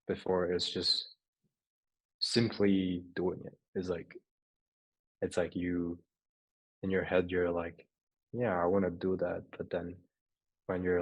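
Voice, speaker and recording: A man's voice: deep voice, breathy edge, muffled recording. A young man from Hong Kong, a native Cantonese speaker, chatting informally as an interview guest. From Hong Kong, moved to England at fourteen. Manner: informal, says "like" and "you know" often, some swearing. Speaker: podcast guest, writer and photographer. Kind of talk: casual interview chat.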